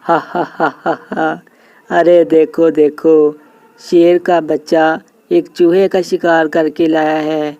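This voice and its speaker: A woman voicing a boastful, scornful male lion in an animated animal story, taunting as he talks. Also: low-pitched, takes it slowly, no echo, dull audio, pitched below the performer's own voice. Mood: mocking.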